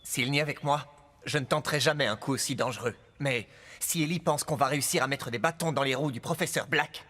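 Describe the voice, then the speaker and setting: A man's voice: medium pitch, fairly quick, bright, forceful tone. An actor playing a glib, sly young man in a French cartoon dub, casual in manner. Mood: confident.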